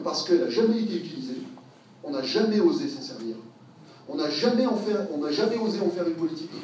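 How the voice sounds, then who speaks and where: A man speaks at a public talk in a slightly high, mellow voice. He thinks aloud through hesitations and restarts, then presses his points with fervour. He is heard from far off in a very echoey hall, with faint noise behind. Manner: thinking aloud with many hesitations, rising to passionate emphasis. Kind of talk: public talk, reflective and improvised.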